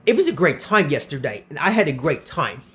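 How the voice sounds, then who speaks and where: A man's voice: fairly high pitch, very animated, fairly quick, bright, forceful tone. A man in his twenties hosting a casual question-and-answer vlog, who often repeats himself and restarts his phrases. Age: 20s. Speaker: channel host answering viewer questions.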